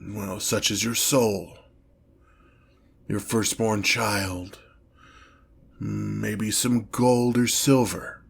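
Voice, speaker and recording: A man's voice: lowish voice, fairly even intonation, vocal fry, husky, exaggerated voice. A man voicing a haughty, exasperated demon lord in a fantasy audio roleplay, speaking formally and pompously. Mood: grudging. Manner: pompous, formal, slow deliberate phrasing with irritated sighs. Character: haughty, exasperated male demon lord, grandiose but petty. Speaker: character performer in roleplay audio. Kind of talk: scripted ASMR fantasy roleplay, one-sided dialogue.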